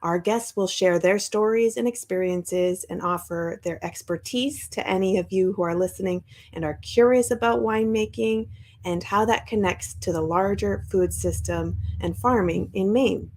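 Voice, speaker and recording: A woman's voice: medium pitch, normal speed, a little mellow. A woman hosting a podcast, reading a scripted welcome and then chatting with her guests in a friendly, conversational way. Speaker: podcast host.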